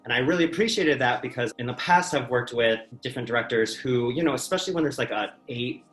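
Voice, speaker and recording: A man's voice: medium pitch, fast, fairly bright tone. A man speaking as a panel guest, recounting his experiences in a casual but emphatic, earnest way. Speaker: talk-show guest, stage actor. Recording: echoey room, music behind.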